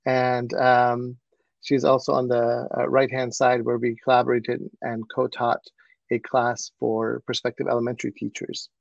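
Male presenter, Persian first language, gravelly edge, muffled lo-fi video call audio, earnest with many fillers. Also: medium pitch, monotone, normal speed, dry recording. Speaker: presenter, mathematics educator. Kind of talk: webinar presentation.

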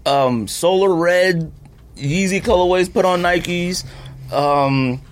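A man's voice: high-pitched, takes it slowly, a little hiss or hum. A man hosting a casual podcast chat, talking in an emphatic, opinionated, slangy way. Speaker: podcast host.

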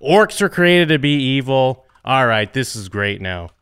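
A man pitching story ideas in a casual chat, animated and halting with many fillers. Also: smooth, no echo. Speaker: co-writer brainstorming a story. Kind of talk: casual creative brainstorming chat.

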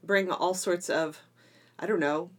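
A woman with a slightly low-pitched voice talks casually as a podcast co-host. She sounds like an enthusiast explaining something she enjoys, in an informal conversational style. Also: steady tone, unhurried. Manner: enthusiastic, self-described geeky. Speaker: podcast co-host and drama teacher. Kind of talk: casual podcast chat about homeschooling.

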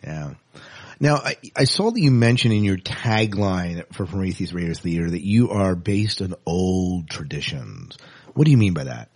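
A man's voice: low-pitched, lively intonation, moderate pace, a little mellow, breathy edge. A man hosting a podcast interview, asking questions and answering with short affirmations. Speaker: podcast host. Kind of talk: interviewing a guest.

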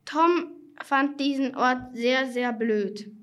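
A woman's voice: high-pitched, slow, fairly bright tone. A young female narrator reading out a scripted children's story for an audio play. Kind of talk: scripted narration, read aloud. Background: instrumental music.

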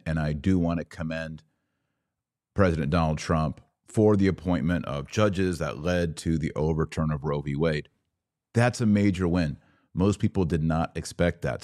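An American man hosting a political commentary podcast, speaking in a deliberate, emphatic monologue that leans on repeated words for stress. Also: a bass voice, darkish tone. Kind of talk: opinion monologue to camera.

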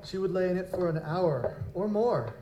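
A young nobleman, acted by a man, with a fairly high, mellow voice and stiff, formal phrasing. He is touchy and proper, a bereaved lord in a live audio drama. The sound is roomy and echoing, with some noise. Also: lively intonation, moderate pace, dull audio. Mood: wistful, troubled. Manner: formal, stiff, aristocratic diction.

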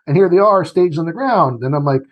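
A man's voice: a little high, animated, a little fast, lo-fi sound. A man giving his opinions as an interview guest, emphatic and argumentative, often acting out quoted voices. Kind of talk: opinionated political commentary.

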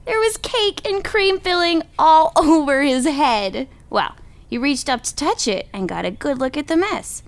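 A woman playing an excitable young child who tells tall tales in a theatrical, animated way for a children's story. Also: sits high, measured pace, no room sound. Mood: building excitement. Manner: theatrical, exuberant, acts out voices.

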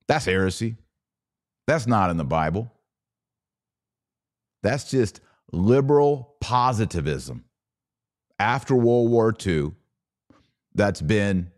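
A man's voice: mid-range voice, a little mellow. A man hosting a podcast, giving a blunt, informal religious commentary in an emphatic, preachy way. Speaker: podcast host.

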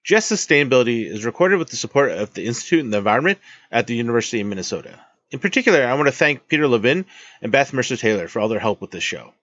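A man's voice: medium pitch, fairly bright tone, dry recording, lo-fi sound. A man hosting a podcast, wrapping up the interview and reading the closing credits. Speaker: podcast host. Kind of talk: interview wrap-up and scripted outro.

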